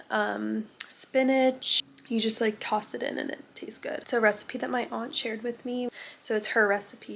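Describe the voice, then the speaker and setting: A young woman chatting casually to camera in her vlog, a little raspy from a cold and saying "like" a lot. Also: fairly high pitch, a little hiss or hum. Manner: chatty, says "like" often. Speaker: lifestyle vlogger.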